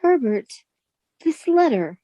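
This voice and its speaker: A woman's voice: high-pitched, very animated, slow pace, dry recording, highs cut off, heavily acted. A woman playing an affectionate, curious, easily vexed young newlywed wife in a radio suspense play. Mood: puzzled, uneasy.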